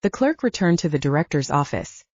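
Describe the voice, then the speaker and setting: Audiobook narrator, woman, low-lit warm tone, expressive intonation, scripted literary prose. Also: brisk, dry recording. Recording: slightly dull, lo-fi close recording.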